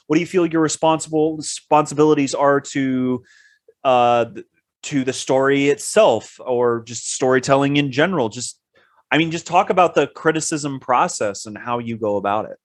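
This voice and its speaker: A man co-hosting a conversational interview, thoughtful and deliberate, rephrasing as he goes. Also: fairly high pitch, dry recording, clean studio sound. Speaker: podcast co-host.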